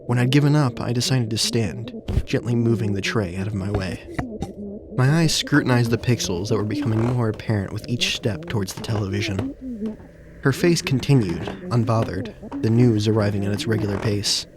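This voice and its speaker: A man acting as an uneasy protagonist who narrates a surreal audio drama in deliberate, literary, descriptive phrases. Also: on the low side, noisy background, lightly acted. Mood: uneasy, intent. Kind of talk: scripted first-person audio drama narration.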